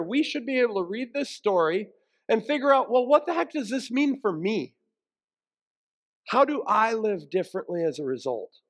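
A man preaching a sermon in a conversational, colloquial way, putting emphatic questions to his listeners and quoting scripture. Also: high-pitched.

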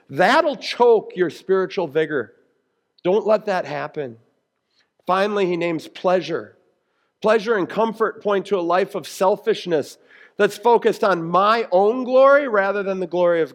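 An American man delivers a sermon in a noticeably high-pitched voice. He sounds like a pastor explaining scripture, earnest and conversational, putting emphasis on his key phrases. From United States. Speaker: pastor preaching.

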